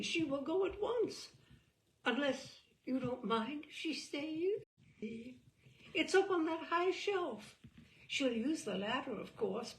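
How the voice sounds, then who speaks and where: A woman's voice: sits high, vocal fry, a little hiss or hum, pitched above the performer's own voice, full character voice, low-quality recording. A woman voicing a fluttery, wheedling female cousin in a comic mystery storybook, effusively apologetic and fussily polite.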